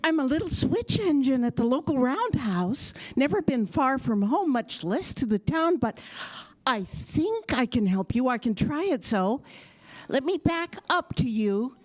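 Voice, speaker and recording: Woman as a little engine, unhurried and earnest. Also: high voice, very animated, heavily acted. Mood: modest, willing. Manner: earnest, encouraging. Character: small helpful female switch engine, modest but determined. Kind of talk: children's stage audio theater acting.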